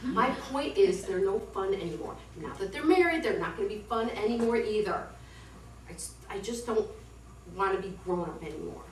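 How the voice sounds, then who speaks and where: A woman's voice: medium pitch, expressive, normal speed, close to an everyday voice. A woman playing a restless, playful young wife who resists growing up, in an amateur stage comedy. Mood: restless complaint. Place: small theatre, distant microphone. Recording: echoey stage recording from far off, noisy.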